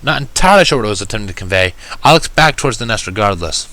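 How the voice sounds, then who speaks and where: A man reading a first-person story aloud with an expressive, wry, self-deprecating delivery. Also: lowish voice, racing, dry recording. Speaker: fan fiction reader.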